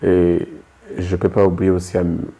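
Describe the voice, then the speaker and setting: A man's voice: sits low, monotone, normal speed, vocal fry, mellow, breathy edge, dull audio. A man from Rwanda with a Rwandan accent, hosting an interview in a hesitant way, with many fillers. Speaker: host.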